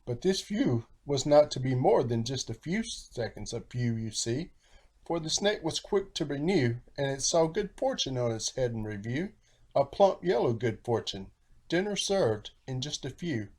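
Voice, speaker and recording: A man reading a children's storybook aloud in a theatrical, animated style with rhyming phrasing. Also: mid-range voice, moderate pace, husky. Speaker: storybook narrator, author.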